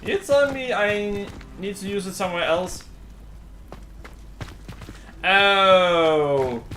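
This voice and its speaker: A man's voice: sits high, takes it slowly, pushed and bright. A man doing casual let's play commentary, rambling as he thinks aloud. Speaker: gaming streamer. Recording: faint game music behind.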